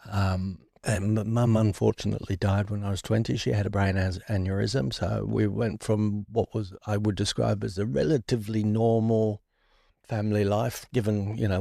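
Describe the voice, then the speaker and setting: Gravelly, low-voiced man, reflective. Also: warm tone, no room sound, studio quality. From born in Ireland, raised in London. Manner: reflective, halting, with restarts. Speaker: guest. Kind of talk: candid recovery interview.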